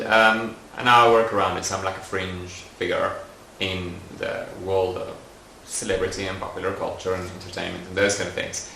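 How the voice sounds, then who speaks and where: Young adult man, documentary interviewee, low pitch, gravelly, unhurried and reflective. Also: a little hiss or hum. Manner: thoughtful, soft-spoken, frequent fillers. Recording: roomy echoey recording.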